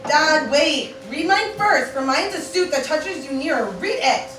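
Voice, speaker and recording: Woman playing a high-voiced pleading teenage daughter. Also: lively intonation, unhurried, over music. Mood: urgent. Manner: stumbles over archaic words, slips into casual speech. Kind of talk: amateur parody of Shakespeare as radio play. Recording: roomy, distant mic, loud background noise.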